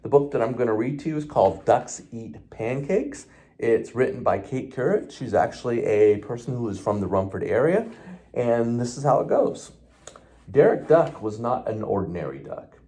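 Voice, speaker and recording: A man's voice: medium pitch, lively intonation, normal speed, dark tone. A man, a police officer, reading a children's storybook aloud expressively, with the odd small stumble.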